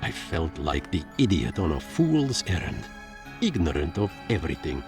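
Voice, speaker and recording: Game lead: pompous, brooding little inventor creature, very low pitch, wildly swooping melodramatic delivery. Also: male voice, very animated, normal speed, gravelly, over music, exaggerated voice. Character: Leopold, fluffy wealthy engineer creature, self-pitying, stubborn, theatrical. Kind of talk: dramatic first-person game narration.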